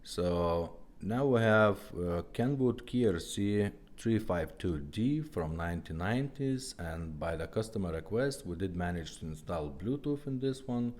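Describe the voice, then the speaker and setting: A man's voice: low-pitched, lively intonation, unhurried, hardly any pauses, a little mellow. A man giving a casual product demonstration as a technician, explaining step by step in short, simple phrases. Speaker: car radio repair technician demonstrating products.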